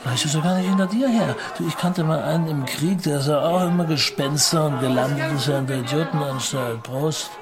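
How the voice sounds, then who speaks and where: A man's voice: on the high side, a little fast, few pauses, close to an everyday voice. An actor playing a coarse, lecherous, cynical newspaperman drinking in a tavern, crude and jovial, in a German radio drama. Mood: prodding, dismissive. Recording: music and pub chatter behind. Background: music and crowd of a tavern scene.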